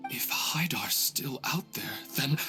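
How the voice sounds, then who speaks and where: A man's voice: mid-range voice, lively intonation, slow, music in the background. A man playing an earnest, troubled father in a video game, hesitant and sincere. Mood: confused, worried.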